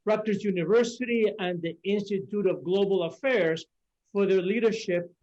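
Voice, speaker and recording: An older man with a Cuban Spanish accent speaks in a high, light voice, giving a formal conference talk. He is measured and pauses on fillers. The sound is dull and lo-fi, like video call audio. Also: a little mellow. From Cuba. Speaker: auxiliary bishop, conference speaker.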